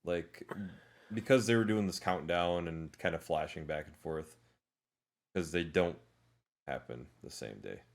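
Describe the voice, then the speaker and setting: Deep-voiced man chatting casually. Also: a little slow, pauses often. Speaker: podcast co-host. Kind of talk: casual movie discussion.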